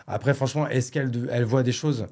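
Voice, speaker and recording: Male podcast host, loose and slangy, rambles and jokes at himself, mid-pitched ordinary voice. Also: steady tone, fast, darkish tone. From born in Paris, living in Bordeaux. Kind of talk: casual podcast conversation. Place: agency studio set.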